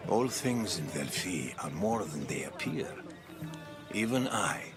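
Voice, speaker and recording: A worldly old-world Greek storyteller in a video game. His voice sits somewhat low and he speaks with measured, grave deliberation. Very loud music runs behind him. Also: big pitch swings, heavily acted. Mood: grave, guarded. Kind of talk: video game drama acting.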